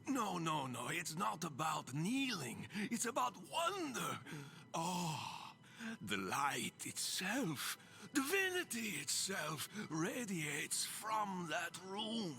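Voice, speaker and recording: An actor playing a fervent zealot in a video game, speaking in halting, theatrical, reverent monologue that swells with breathy devotion. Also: male voice, sits high, very animated, bright, forceful tone, faint music behind, heavily acted. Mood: awed rapture. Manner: rapturous, halting, dramatically drawn-out, breathy swells. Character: fervent, unsettlingly reverent zealot guiding a pilgrim to his holy master.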